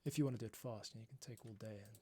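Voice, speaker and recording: A man's voice: on the low side, steady tone, very fast, background noise. A man thinking aloud as he works through composing on a livestream, muttering to himself, with music playing and computer keys clicking. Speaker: composer streaming his process. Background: orchestral music playback, computer keys clicking.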